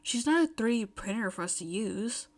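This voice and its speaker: A woman's voice: on the high side, animated, normal speed, natural voice. Exasperated schoolboy, played by a woman, firm, scolding, the sensible classmate in an audio story. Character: exasperated, insistent, principled teenage schoolboy classmate.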